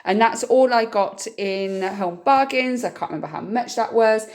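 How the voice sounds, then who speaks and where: A woman's voice: a little high, normal speed, darkish tone. Slightly nasal British woman vlogger, chatty. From United Kingdom. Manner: chatty, informal, explanatory. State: getting over a cold, slightly scratchy throat. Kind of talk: casual chatty grocery haul vlog.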